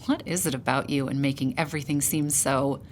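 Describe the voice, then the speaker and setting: A woman's voice: rumbling low, fairly even intonation, a little fast, a little hiss or hum. An actress playing a blunt, wry young aristocratic lady in an audio drama. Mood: dry, challenging. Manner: dry, wry, challenging. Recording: music behind the voice.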